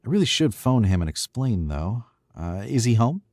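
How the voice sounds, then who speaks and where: A man's voice: lowish voice, sing-song, moderate pace, darkish tone, no echo, clean studio sound. An actor playing a smug, glib young man in an old-time radio drama, breezy and cocky.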